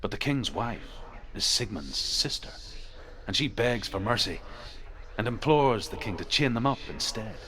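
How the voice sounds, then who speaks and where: A deep, grave saga storyteller. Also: male voice, expressive, pushed and bright. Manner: measured, dramatic, knowing. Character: grave, relishing male storyteller of Norse legend. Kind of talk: dramatic storytelling in a video game. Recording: noisy ambience behind.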